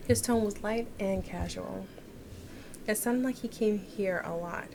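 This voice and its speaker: A woman game streamer reads a story aloud in a medium-pitched voice. She is expressive, slipping into casual asides and correcting her stumbles. There is clear background noise and game ambience behind her. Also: unhurried. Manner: stumbles over words and corrects herself. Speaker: game streamer reading a visual novel aloud.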